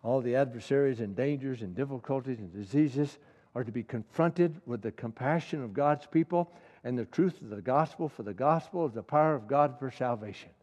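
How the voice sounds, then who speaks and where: A man's voice: mid-range voice, fairly quick, darkish tone. Impassioned preacher man in a hall. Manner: impassioned, rising to emphatic peaks, repeats key lines. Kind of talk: sermon.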